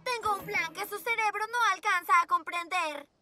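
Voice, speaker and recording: A woman's voice: very high voice, normal speed, far from the mic. A woman with a Latin American Spanish accent voicing a cocky, scheming young werewolf girl in a cartoon dub. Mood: boastful. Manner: boastful, bossy. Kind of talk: animated cartoon dub acting. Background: cartoon background music.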